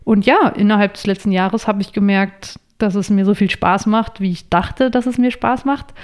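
A young woman with a smooth, clear voice of middle pitch talks as a podcast guest. She answers in a friendly way and explains things patiently, at length. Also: fairly even intonation, moderate pace. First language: not English. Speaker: podcast guest, online writer. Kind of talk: conversational interview answers.

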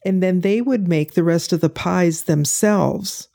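A woman's voice: on the low side, a little slow, vocal fry, warm tone. An older woman chatting as an interview guest, telling stories and acting out what people said to her. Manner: storytelling, acts out quoted people. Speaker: guest, pie sale volunteer. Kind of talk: casual interview chat.

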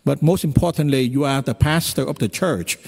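A man with a Vietnamese accent, preaching a homily as a priest, pausing often with fillers. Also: mid-range voice, gravelly, darkish tone. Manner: halting, with frequent fillers. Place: church. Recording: long hall reverb in a church.

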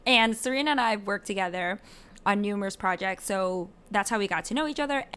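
A woman's voice: medium pitch, fairly quick, fairly bright tone, clear, resonant tone. A woman from Hong Kong hosting a casual podcast with an upbeat, playful manner. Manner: upbeat, playful food wordplay in a scripted intro. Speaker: podcast host.

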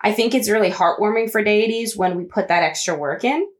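A woman's voice: medium pitch, a little fast. A woman co-hosting a podcast, answering a listener question in a chatty way. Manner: chatty, with many fillers and restarts. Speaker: podcast co-host. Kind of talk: casual conversation.

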